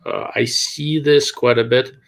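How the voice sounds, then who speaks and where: A man's voice: mid-range voice, steady tone, unhurried, vocal fry. A man with a Russian accent hosts a live Q&A, answering viewer questions in a blunt, dryly sarcastic way. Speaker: host. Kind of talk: live-stream chat and Q&A.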